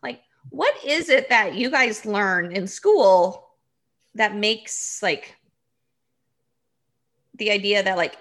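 Bright-voiced chatty woman host. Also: mid-range voice, slow pace, pauses often. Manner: chatty, heavy use of "like". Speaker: podcast host. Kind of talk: casual interview chat.

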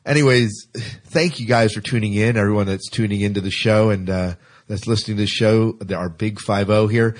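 Male podcast host, low and slightly rough voice, informal and chatty, repeats himself. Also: fairly even intonation, a little fast. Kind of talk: casual chat.

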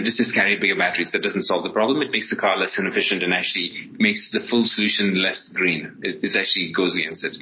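A male startup founder from Ethiopia speaks as an interview guest. His voice sits a little low, and he explains his points in a conversational way. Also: sharp tone, a little hiss or hum, low-quality recording.

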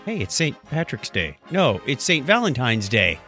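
A man's voice: mid-range voice, big pitch swings, normal speed, sharp tone, soft background music. A man co-hosting a podcast in a casual, chatty style. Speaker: podcast co-host.

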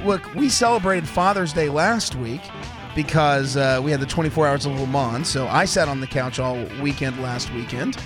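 A man's voice: mid-range voice, normal speed, music in the background. A man with laryngitis hosting a casual motorsport show on college radio, rambling and often restarting his sentences. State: hoarse from laryngitis. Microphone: studio microphone.

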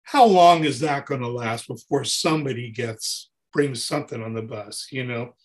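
A man's voice: medium pitch, moderate pace. A man in his sixties, a touring keyboard player, talking animatedly in a casual interview and often restarting his sentences. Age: 60s. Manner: animated, talks in long runs with restarts.

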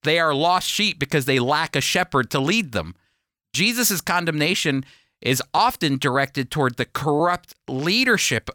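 A man's voice: on the high side, bright, forceful tone, no echo, studio quality. A man hosting a radio Bible program, teaching in an emphatic, explanatory, preacherly manner.

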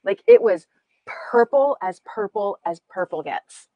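A woman's voice: mid-range voice, expressive, unhurried, no room sound, dull audio. A woman chatting casually about meditation, rambling and giggling as she tells a story.

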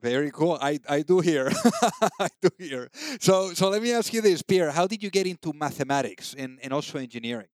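A man's voice: a little high, expressive, brisk, a little mellow, no echo, clean studio sound. A man with a Spanish accent hosting a podcast interview, upbeat and chatty. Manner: upbeat, chatty with many fillers. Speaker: podcast host. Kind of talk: casual interview chat.

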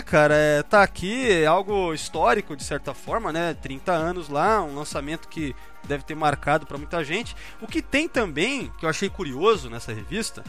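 Lively high-voiced man, rambling chat. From Brazil. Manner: rambling, hesitant, many restarts. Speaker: podcast co-host. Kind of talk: casual podcast chat.

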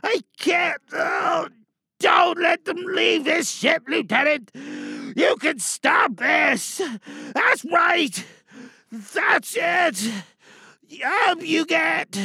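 A man playing a dying, infected space commander, gruff and desperate, barking strained orders with a rasping voice in a sci-fi audio drama monologue. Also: extremely high pitch, expressive, extremely slow, sharp tone, no room sound, raised voice, studio quality, pitched above the performer's own voice, heavily acted. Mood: agonized. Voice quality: gravelly, rasping.

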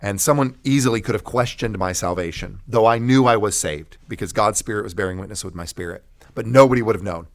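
An American man, a pastor, shares his testimony in conversation with an earnest, preacherly delivery and emphatic pauses. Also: on the low side. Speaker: pastor and guest.

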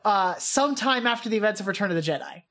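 A woman's voice: mid-range voice, animated, fast talker, fairly bright tone. A woman co-hosting a podcast, chatting casually and eagerly with frequent likes and restarts. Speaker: podcast co-host. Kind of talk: casual chat.

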